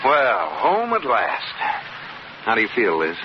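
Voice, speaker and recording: An actor playing an exasperated, grumbling but indulgent husband, emphatic, in an old radio situation comedy. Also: male voice, on the low side, wildly swooping pitch, unhurried. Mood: weary, wary. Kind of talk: 1940s-50s radio situation comedy acting. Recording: muffled noisy old radio, studio audience.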